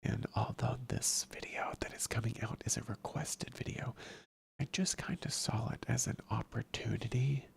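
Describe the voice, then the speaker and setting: ASMR host for casual chat: heavily fried, very husky, hushed delivery, rambling and repetitive, close to the mic. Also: mid-range voice, normal speed. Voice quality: breathy whisper, slipping into soft-spoken murmur. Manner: hushed, rambling, repeats phrases. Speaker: ASMR streamer chatting with viewers.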